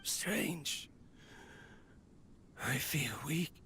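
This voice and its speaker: A man's voice: a little high, lively intonation, extremely slow, whispered. A man voicing a weary, lonely inventor in a video game, confiding wistfully to his machine. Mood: weak, panicked. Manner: wistful, sighing, confessional. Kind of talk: dramatic video game voice acting.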